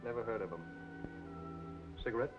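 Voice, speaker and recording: An actor playing a broke, cynical drifter in a film noir, with clipped, offhand lines. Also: male voice, mid-range voice, fairly quick, natural voice. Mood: curt. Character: broke, curt, cynical male drifter new in town. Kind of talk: mid-century film noir dialogue acting. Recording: tinny narrow old soundtrack, music behind.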